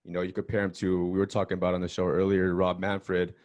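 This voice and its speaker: A man's voice: deep voice, monotone, fast talker, darkish tone. Upbeat male podcast host. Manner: friendly, upbeat, uses slang like bro and dope. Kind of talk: casual interview talk.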